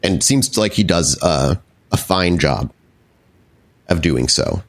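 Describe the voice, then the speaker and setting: Low-voiced, animated man running a tabletop game. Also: slow pace. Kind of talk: improvised game narration and rules talk.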